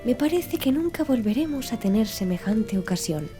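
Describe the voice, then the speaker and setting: A woman's voice: fairly high pitch, big pitch swings, normal speed, dark tone, soft background music. A woman voicing a polite, deferential young manservant in formal, courteous audiobook dialogue.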